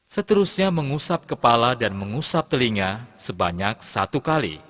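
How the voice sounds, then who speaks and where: A man, an Islamic religious teacher, giving measured step-by-step instruction in a scripted lesson narration for children. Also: mid-range voice, big pitch swings, a bit bright. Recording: long hall reverb, clear background noise.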